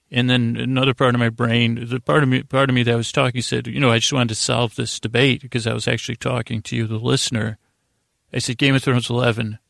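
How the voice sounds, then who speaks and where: A man hosting a bedtime podcast, rambling in a halting monologue that keeps interrupting itself and wandering off. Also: mid-range voice, gravelly, fairly bright tone, no room sound, studio quality. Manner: meandering, halting, self-interrupting. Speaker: podcast host.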